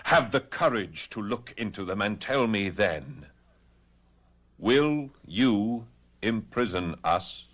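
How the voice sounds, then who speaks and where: A gentleman doctor and mesmerist in a 1970s radio drama. His voice sits fairly low and moves dramatically in pitch. He speaks with deliberate, insistent authority, as if bending someone's will. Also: lively intonation. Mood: firm defiance. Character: commanding gentleman doctor and mesmerist, firm, controlled, loyal.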